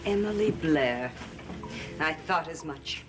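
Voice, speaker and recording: A woman's voice: low-pitched, expressive, slow pace, background noise. An actress playing a scheming, silkily motherly middle-aged witch in a gothic soap opera, coaxing and theatrical. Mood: cool, knowing. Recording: distant old TV audio under loud music.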